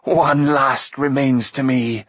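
A man's voice: mid-range voice, little pitch movement, a little slow, husky, no room sound. A fallen sorceress queen from a fantasy storybook, acted out by a man. The delivery is imperious and anguished, full of bitter pride and despair, in a close, dry recording. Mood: anguished defiance.

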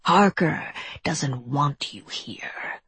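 A woman voicing a menacing male monster, coldly amiable and mocking, in a slow breathy hissing whisper. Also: deep voice, lively intonation, no echo, exaggerated voice. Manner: slow, drawn-out, taunting.

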